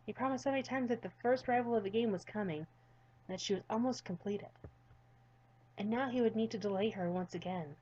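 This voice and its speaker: Woman narrator for a fan visual novel, mid-pitched, earnest and a bit stumbling, dull lo-fi audio. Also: a little fast, mellow. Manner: earnest, slightly stumbling reading. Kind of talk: storybook-style narration.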